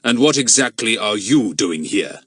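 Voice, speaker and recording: A man voicing a fierce, bullying villain who owns an island, speaking slowly and threateningly in an audiobook. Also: on the low side, sing-song, a bit bright, no echo, heavily acted. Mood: menacing. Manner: slow, threatening, hissing delivery. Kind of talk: audiobook character voice.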